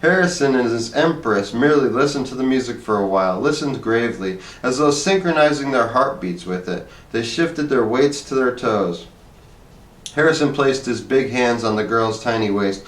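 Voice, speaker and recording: Man narrating in an echoey room. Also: mid-range voice. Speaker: story reader. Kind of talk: scripted narration of a short story. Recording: echoey room, distant microphone.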